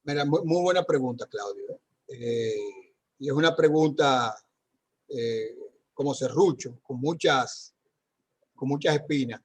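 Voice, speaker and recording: A man's voice: mid-range voice, measured pace, long pauses, husky. A man, a doctor, answering parents' questions in an online talk, speaking conversationally with many fillers and tag questions. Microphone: video call.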